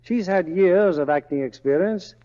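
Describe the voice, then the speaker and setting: A man's voice: high voice, normal speed, warm tone. A man hosting a 1940s radio program with scripted introductions. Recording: dull old radio broadcast, long reverb.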